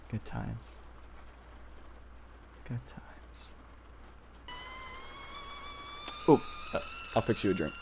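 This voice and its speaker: A man playing an obsessive, tender but possessive childhood-friend boyfriend in an ASMR roleplay, speaking softly and hesitantly with nervous pauses. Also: medium pitch, wildly swooping pitch, vocal fry, noisy background, exaggerated voice. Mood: shy, fond.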